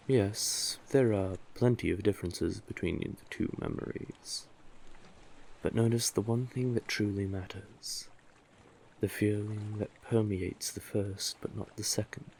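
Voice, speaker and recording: A man acting an older male sea witch, very slow delivery, deep voice, doting, coaxing, intimate fantasy audio drama. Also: steady tone, darkish tone. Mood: soothing, instructive. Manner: soothing, coaxing, doting, deliberate. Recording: clearly noisy, sea-cave ambience effects.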